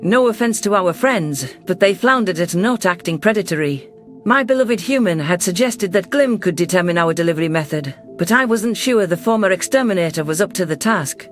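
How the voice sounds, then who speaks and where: A man with a notably high voice reads first-person science fiction in a steady, measured, scripted manner. His delivery stays even throughout the narration. Soft music plays faintly beneath him.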